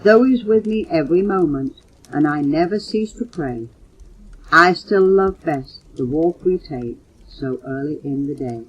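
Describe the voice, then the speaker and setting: Older female poetry reader, low pitch, unhurried earnest delivery, dull lo-fi home tape sound. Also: lively intonation, husky. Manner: measured, earnest recitation, announcing titles and authors. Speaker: mother reading poems for her children. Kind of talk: recited poetry reading. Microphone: home cassette recorder. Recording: muffled old home audio cassette.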